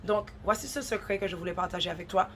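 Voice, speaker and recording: A woman's voice: medium pitch, quick pace, a bit bright, slight background noise. A woman with a head cold and a runny nose gives an earnest devotional talk, urging listeners on and repeating points for emphasis.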